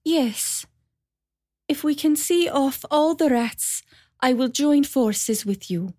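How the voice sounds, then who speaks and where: A man voicing a desperate female mother cat who sobs in a high strained falsetto. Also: expressive, slow pace, dark tone, no echo, studio quality, exaggerated voice. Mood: eager.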